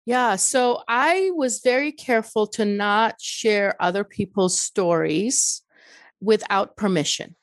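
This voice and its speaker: A woman's voice: medium pitch, takes it slowly, no echo. A middle-aged woman whose first language is Farsi, speaking as an author in an interview in an earnest, reflective way with many restarts. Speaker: author and guest. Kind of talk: interview conversation.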